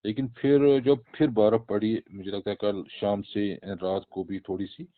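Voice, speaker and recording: A male government official with a Kashmiri accent briefs an interviewer in a fairly low voice. He explains point by point and drops in English terms. He is heard over a tinny, narrow phone line. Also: little pitch movement, a little slow. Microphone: phone call.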